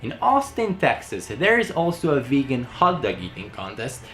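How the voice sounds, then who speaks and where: A man's voice: mid-range voice, huge pitch swings, moderate pace, fairly bright tone, faint music behind. A young man with a Hungarian accent, guest-hosting with playful, sarcastic asides. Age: young adult. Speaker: guest host. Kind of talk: joking commentary.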